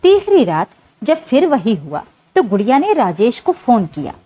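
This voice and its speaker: A woman's voice: a little high, huge pitch swings, moderate pace, dark tone. A woman reading a scripted dramatic story as narrator, in an emotive storytelling manner.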